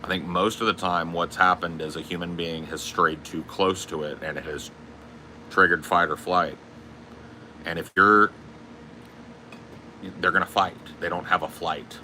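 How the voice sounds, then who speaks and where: A man with a booming voice, telling stories in a casual, profane podcast chat. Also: fairly even intonation, measured pace, pauses often, pushed and bright, a little hiss or hum. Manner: profane, storytelling. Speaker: podcast host.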